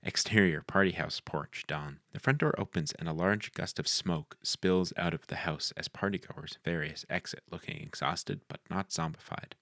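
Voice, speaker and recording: A man's voice: low voice, normal speed, vocal fry, few pauses, sharp tone, dry recording, clean studio sound. A man narrating the action lines of a screenplay in a scripted read.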